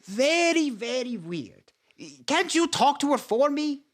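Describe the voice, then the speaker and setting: A man's voice: extremely high pitch, big pitch swings, slow pace, a bit bright, full character voice. A man playing a centuries-old vampire count, a fretful and formal scoundrel, in a campy horror-comedy film. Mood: flustered.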